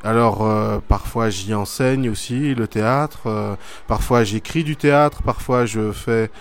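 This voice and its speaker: Thoughtful man with frequent pauses. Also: on the low side. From Belgium. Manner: thoughtful, hesitant with many fillers. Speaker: theatre artist and teacher. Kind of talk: school media interview, reflective answers.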